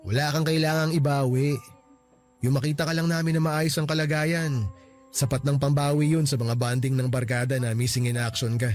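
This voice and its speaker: A warm-hearted young male friend , as an actor plays him in a radio drama, talking gently and reassuringly, at an ordinary middle pitch. He slips into light teasing to cheer his buddy up. Soft music plays faintly under the scene. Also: little pitch movement, moderate pace, lightly acted. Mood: encouraging. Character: supportive joking male friend of the grieving man. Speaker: close friend.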